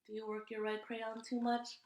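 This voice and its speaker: A woman's voice: a little high, monotone, moderate pace, roomy sound, heavy background noise. A woman hosting a children's story time, chatting to young listeners between read-alouds. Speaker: story time host and reader.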